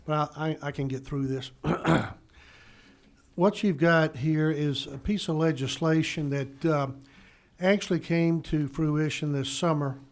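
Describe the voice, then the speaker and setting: A man, a state representative, hoarse from a bad cold, presenting a bill to committee and stumbling over medical terms. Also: fairly high pitch, steady tone, unhurried. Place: legislative committee room.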